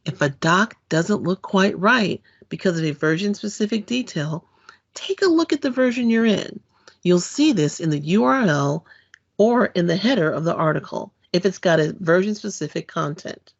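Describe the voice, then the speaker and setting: A woman giving a scripted technical presentation in an explanatory, clearly phrased, read-out manner. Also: on the low side, animated, normal speed, highs cut off. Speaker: presenter, content developer.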